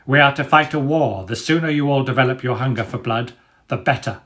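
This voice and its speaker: A man's voice: mid-range voice, a little fast, fairly bright tone, close to an everyday voice. A man voicing a cruel, menacing war dog who barks scornful orders in a storybook reading. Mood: cold menace. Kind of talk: dramatic storybook character reading.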